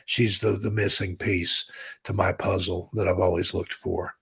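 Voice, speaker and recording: A man hosting a livestream in a relaxed, rambling chat with his viewers, reading their comments aloud, his voice hoarse as he loses it. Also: deep voice, a little slow. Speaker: livestream host.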